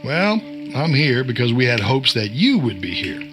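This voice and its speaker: Man acting bright-voiced, folksy, cagey insider over music. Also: medium pitch, very animated, normal speed. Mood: guarded, explaining. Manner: folksy, chiding, cryptic. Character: cagey, wisecracking male veteran insider and short-order cook. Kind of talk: audio drama acting.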